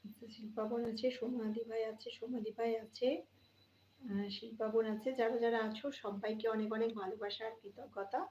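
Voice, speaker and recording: High-voiced woman in an echoey room. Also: level tone, fairly quick, darkish tone. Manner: conversational, addressing viewers directly, often repeating phrases. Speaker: live stream host. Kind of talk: casual live chat, greeting viewers by name. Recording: dull lo-fi, roomy echo, faint noise.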